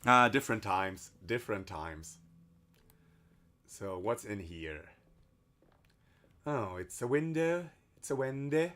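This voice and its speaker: A hoarse man casually commenting while playing a game on stream, rambling loosely and swearing now and then. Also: lowish voice, animated, slow, long pauses, pushed and bright. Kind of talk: casual let's-play commentary.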